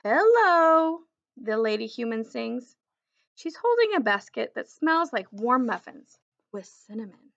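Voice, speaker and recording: A woman reading a children's story aloud in an expressive, deliberate storytelling manner. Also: a little high, creaky, highs cut off. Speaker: children's book reader.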